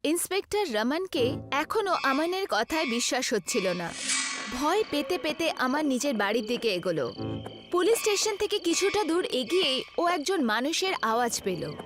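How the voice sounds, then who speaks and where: A woman tells a scripted horror story. Her voice is high and very lively in melody, with dramatic storytelling delivery. Loud music plays underneath throughout. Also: normal speed. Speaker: narrator. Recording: loud music bed over the voice.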